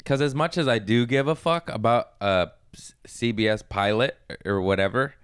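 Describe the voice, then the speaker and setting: A man's voice: mid-range voice, normal speed, clean tone, no echo, clean studio sound. A young man hosting a podcast, a stand-up comic telling rambling, profane stories and laughing at himself. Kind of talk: casual podcast chat.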